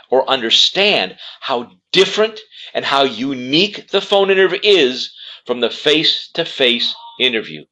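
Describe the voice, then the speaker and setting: A man's voice: medium pitch, bright, forceful tone, low-quality recording. A man presenting as a career coach, giving instructional advice in an emphatic way and repeating key words for stress. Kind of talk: instructional talk to camera.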